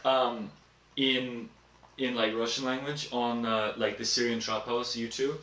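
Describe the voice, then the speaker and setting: A young man talks in a relaxed, rambling way, with "like" in nearly every phrase. He sounds set back from the mic in a roomy, echoing space. Clear noise sits under his voice. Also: medium pitch, a little slow. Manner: rambling, filler-heavy, laid-back. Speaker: podcast host. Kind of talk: casual podcast chat. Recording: echoey room, distant mic, noisy.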